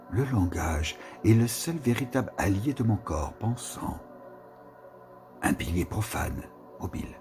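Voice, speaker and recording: A man giving a slow, musing reading of a literary text, with pauses and whispered asides. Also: low-pitched, creaky. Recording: reading with music behind. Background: music.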